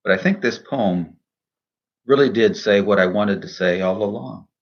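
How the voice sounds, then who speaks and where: A man speaking in a measured, earnest, deliberate way, in the style of a devotional poetry reading and reflection. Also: lowish voice, highs cut off. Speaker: minister and poet reading own poem.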